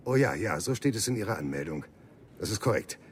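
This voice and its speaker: Deep-voiced polite sheriff, noisy background. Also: male voice, sing-song, fairly quick. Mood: polite, officious. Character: polite, apologetic but official American sheriff. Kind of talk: German radio drama acting.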